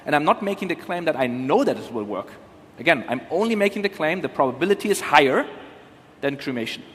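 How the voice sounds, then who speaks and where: A man's voice: a little high, lively intonation, quick pace, a bit bright. A man explaining things as a conference panel speaker, in a careful, qualified manner. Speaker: panel speaker, cryopreservation company representative. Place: large conference hall. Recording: reverberant hall sound.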